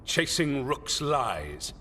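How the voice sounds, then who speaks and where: An actor playing a stern, dismissive military commander in a fantasy game, authoritative and scolding. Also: male voice, medium pitch, very animated, extremely slow, sharp tone, big-room sound, slight background noise, heavily acted. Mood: stern, scornful. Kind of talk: dramatic fantasy game acting.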